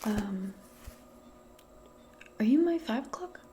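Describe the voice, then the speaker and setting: A woman playing a casual, gently awkward modern witch in a soft-spoken ASMR roleplay, speaking hesitantly in a breathy, often whispered voice. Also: on the high side, animated, takes it slowly, a little hiss or hum. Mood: friendly, hesitant. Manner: hesitant, murmuring, conversational. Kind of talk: ASMR personal-attention roleplay.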